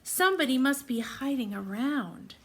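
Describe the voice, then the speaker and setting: A woman voicing a puzzled but kindly male night watchman in a children's storybook, with a deliberate, questioning delivery. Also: high-pitched, expressive. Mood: puzzled suspicion.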